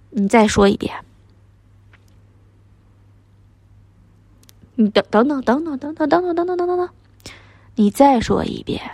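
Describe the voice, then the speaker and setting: A woman performs a middle-aged wife in a dramatic, one-sided row with her husband. Her high, husky voice swings widely as she scolds with sarcasm and coarse swearing. She has a Northeastern Chinese accent and sounds furious and scornful. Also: dry recording, clean studio sound, exaggerated voice. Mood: outraged, incredulous.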